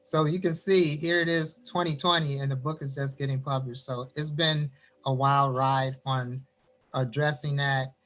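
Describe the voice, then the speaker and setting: A man from Indiana, a poet, reading his poem and then chatting about his writing over a video call. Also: mid-range voice. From Gary, Indiana. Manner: animated storyteller, quick bursts. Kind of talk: poetry reading, then casual chat.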